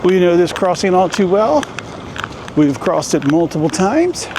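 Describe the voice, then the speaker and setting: A playful, jokey man talks casually as a travel vlogger on a handheld camera. His voice sits a touch high, and clear street noise runs under it outdoors. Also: big pitch swings, unhurried. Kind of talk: casual vlog narration. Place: outdoors in a town and in shops.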